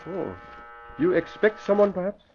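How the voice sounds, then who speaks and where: An actor playing a loyal, deferential manservant who speaks clipped broken English in an old radio crime drama. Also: male voice, high voice, normal speed, a little hiss or hum. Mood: anxious, deferential. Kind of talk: 1940s radio drama acting. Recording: muffled old radio recording.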